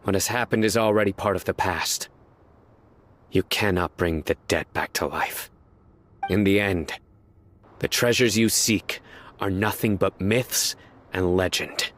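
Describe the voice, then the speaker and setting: A stern young judge in a game, his voice low-pitched and his lines clipped and unyielding. He speaks curtly and firmly. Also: unhurried, long pauses, fairly bright tone. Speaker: video game character. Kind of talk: game cutscene voice acting.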